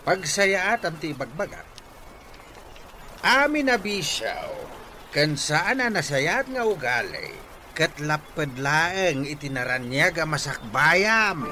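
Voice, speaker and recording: A man's voice: a little high, sing-song, slow pace, noisy background. An actor playing a stern older Filipino father with an Ilocano accent, preachy and emphatic in a radio drama. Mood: stern, pleading. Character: stern older father admonishing his wayward son.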